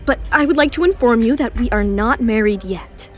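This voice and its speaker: A woman voicing a wronged, scheming young bride in an audio drama, expressive and pointed under a polite surface. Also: fairly high pitch, moderate pace, close to an everyday voice. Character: wronged young bride, sweet outside, bitterly scheming inside. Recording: music under the voice.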